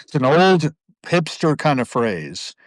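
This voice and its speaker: A man's voice: a little high, sing-song, slow, dry recording, low-quality recording. A middle-aged man hosting a casual live video chat, talking in long, rambling, digressive stretches. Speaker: host. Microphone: video call.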